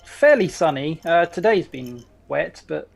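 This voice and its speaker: A man co-hosting a podcast in casual chat, with a halting, dry way of talking. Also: a little high, expressive, gravelly. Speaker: podcast co-host.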